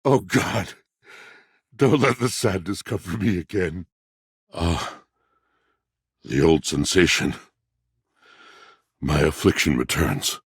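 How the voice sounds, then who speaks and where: Game role: aging lovelorn washing machine. Slow, theatrical, gravelly bass, very dry close sound. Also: male voice, fairly bright tone, no room sound, full character voice. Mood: anguished, then heavy, brooding. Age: older. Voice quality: deep, gravelly. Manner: theatrical, solemn, flowery, drawn-out delivery. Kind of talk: video game character acting.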